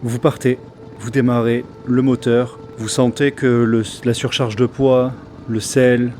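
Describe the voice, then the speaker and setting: A man narrating as game master of a tabletop game, halting with many fillers and restarts. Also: mid-range voice, little pitch movement, a little mellow, a little hiss or hum.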